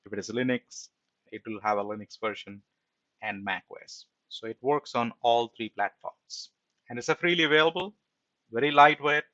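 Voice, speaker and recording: A man's voice: mid-range voice, moderate pace, sharp tone, no room sound, dull audio. A man giving an informal screen-share programming tutorial as an instructor, explaining step by step and often checking in with a short tag question.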